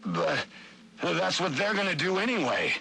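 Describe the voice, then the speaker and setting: An actor playing a cornered petty crook in a video game, hesitant and streetwise. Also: male voice, high-pitched, big pitch swings, measured pace, vocal fry, pushed and bright, slight background noise, heavily acted. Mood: uneasy, reluctant. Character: reluctant, cornered petty crook pressed into informing. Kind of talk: video game voice acting, audio log.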